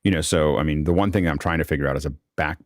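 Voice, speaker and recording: A man's voice: rumbling low, rapid-fire, no room sound, clean studio sound. A man hosting a live online panel in casual talk, thinking aloud in a rambling way and often repeating words. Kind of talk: casual spoken discussion. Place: remote video call.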